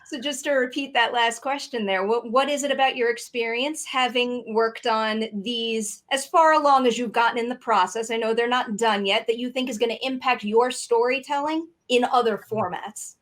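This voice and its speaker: A woman's voice: on the high side, moderate pace, few pauses, a bit bright. A woman hosting a friendly interview in a chatty, upbeat way. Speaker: interview host.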